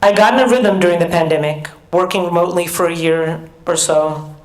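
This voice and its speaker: A middle-aged American woman giving an earnest, measured personal talk as a conference speaker, with small restarts. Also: lowish voice. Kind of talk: personal talk at a research summit. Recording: echoey hall.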